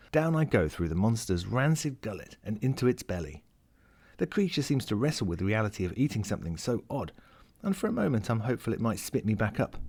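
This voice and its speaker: A man's voice: lowish voice, darkish tone. A man voicing a lonely, wry lost ring as he reads a first-person short story in a dramatic, melancholic way. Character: lonely, world-weary, wry sentient ring lost at sea.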